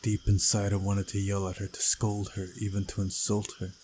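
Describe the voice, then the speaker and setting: A man's voice: on the low side, monotone, moderate pace, darkish tone, slight background noise. A man reading a visual novel aloud as narration, with casual side comments and an occasional stumble over the text.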